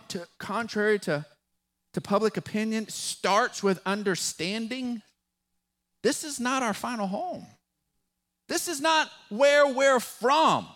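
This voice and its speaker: A man with a West Texas drawl preaching a sermon as pastor, folksy and animated, with a touch of humor. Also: extremely high pitch.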